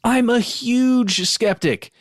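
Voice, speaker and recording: A man's voice: very high-pitched, slow, no room sound, clean studio sound, voice pushed up. A man giving a dramatic reading as a baffled skeptic telling their story, with exaggerated emphasis. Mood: emphatic, baffled. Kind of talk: dramatic reading of a listener letter.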